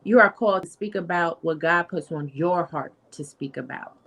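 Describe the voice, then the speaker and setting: A woman's voice: fairly low pitch, moderate pace, fairly bright tone. A woman who calls into a live stream, speaking casually and encouragingly, with faith-based words. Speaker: guest caller. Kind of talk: casual supportive chat.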